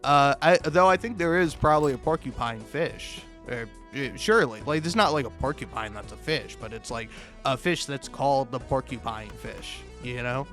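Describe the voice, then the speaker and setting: A man doing a rambling, casual comedic monologue, halting and profane, often stammering and cutting himself off. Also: mid-range voice, a bit bright, soft background music. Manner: profane, halting, self-interrupting with stammered repeats; chuckles often while talking. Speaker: host of a comedic video.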